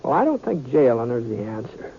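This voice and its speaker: A soft-spoken drawling gunfighter. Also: male voice, medium pitch, sing-song, brisk, husky. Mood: worried. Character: easygoing drawling male Western gunfighter. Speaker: gunfighter Britt Ponson. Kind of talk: 1950s radio drama acting. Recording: thin phone-like old radio recording.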